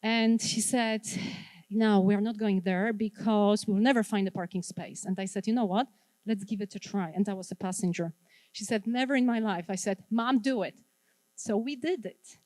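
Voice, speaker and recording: A woman's voice: medium pitch, a little mellow, dry recording, rough recording. A middle-aged woman from Poland with a Polish accent, leading a wellness workshop and drawing answers from the audience. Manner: engaging, asks the audience questions and repeats their answers. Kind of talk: interactive workshop talk to a live audience.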